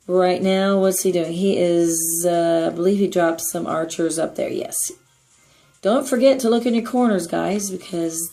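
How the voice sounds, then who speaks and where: A hoarse woman with a raspy voice gives a chatty, upbeat gameplay tutorial voice-over as clan leader. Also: on the low side, mellow. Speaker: clan leader giving strategy tips.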